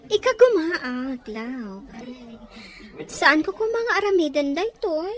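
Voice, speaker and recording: High, coy scheming girlfriend. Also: sing-song, takes it slowly, full character voice. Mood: sweet, coy. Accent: Ilocano. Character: sweet-talking scheming young girlfriend of a rich man. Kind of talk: Ilocano radio drama acting.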